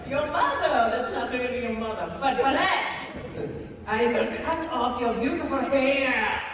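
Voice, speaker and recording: A woman's voice: high voice, lively intonation. A woman playing a menacing, possessive, gloating witch in a live comic fairy-tale reading. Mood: vindictive. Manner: slow, taunting, theatrical. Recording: distant echoey hall, crowd and noise.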